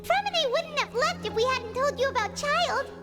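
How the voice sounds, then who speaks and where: A woman voicing a small, childlike fairy companion in a video game, earnest and anxious, squeaky and wide-eyed. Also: animated, moderate pace. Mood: worried. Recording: game dialogue under loud music.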